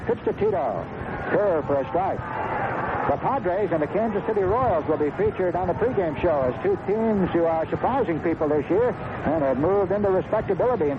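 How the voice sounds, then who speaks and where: An American man calling baseball play-by-play on the radio, conversational, moving between clipped calls and chatty asides. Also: a little high. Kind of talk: live radio sports commentary with chatty asides. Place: stadium broadcast booth. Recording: tinny, very noisy 1970s radio broadcast.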